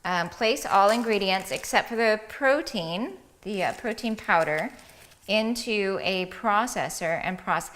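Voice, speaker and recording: A woman's voice: medium pitch, animated, unhurried, fairly bright tone. A woman hosts a cooking segment in a casual, upbeat way, reading steps and chatting with guests. She laughs easily between lines. Faint background noise sits under her voice. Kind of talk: casual cooking-show chat.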